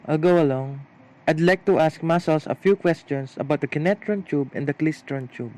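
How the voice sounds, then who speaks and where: A man's voice: a little high, moderate pace, darkish tone, natural voice. A man playing a grumbling but appreciative tinkerer father in an amateur radio play. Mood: keen.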